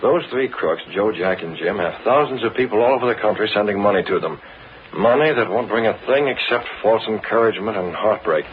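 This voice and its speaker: Earnest crime-fighter, male actor, lowish indignant voice, vintage radio drama, tinny and hissy. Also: animated, brisk, natural voice. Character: earnest, indignant crusader exposing crooks. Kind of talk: old-time radio crime drama acting.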